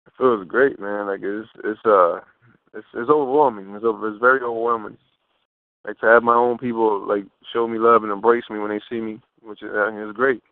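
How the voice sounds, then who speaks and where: A man's voice: on the low side, fairly even intonation, fairly quick, dry recording. A man giving a casual interview as a guest over the phone, talking informally with slang and rephrasing himself as he goes. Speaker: interview guest, fashion model. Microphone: telephone. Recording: muffled narrow phone line.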